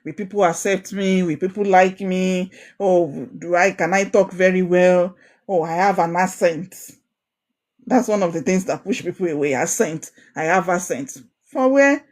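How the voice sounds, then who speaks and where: A young woman with a Nigerian Ijesha accent, coaching a women's group in an online course, animated and encouraging, often repeating phrases for emphasis. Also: fairly low pitch, normal speed. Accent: Nigerian, Ijesha Yoruba.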